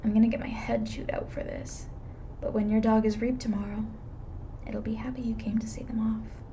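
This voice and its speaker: A woman playing a blunt, weary, reluctantly soft-hearted reaper in an intimate ASMR roleplay, dry and matter-of-fact. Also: on the high side, little pitch movement, fairly quick, creaky, a little hiss or hum. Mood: exasperated, grudging.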